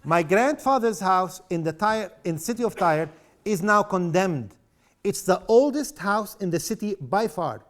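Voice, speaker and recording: A man's voice: sits high, animated, measured pace. A middle-aged man from South Lebanon giving a public lecture in an impassioned, sarcastic way, with rhetorical questions to the audience. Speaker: guest speaker.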